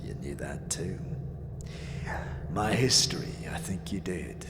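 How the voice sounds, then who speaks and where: An actor playing a sly, bitter old withered lord of scavengers in a fantasy audio drama, with a breathy, gravelly voice and halting, theatrical phrasing. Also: male voice, low voice, lively intonation, a bit bright, slight background noise, full character voice. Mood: bitter, wistful. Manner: theatrical, halting, drawn-out phrasing with muttered asides. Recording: roomy reverb, music behind.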